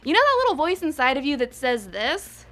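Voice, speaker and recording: A woman's voice: high voice, sing-song, a little fast, fairly bright tone, soft background music. A young woman hosting a countdown video, talking in a sarcastic, profane, chatty way. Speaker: host. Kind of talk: snarky countdown commentary.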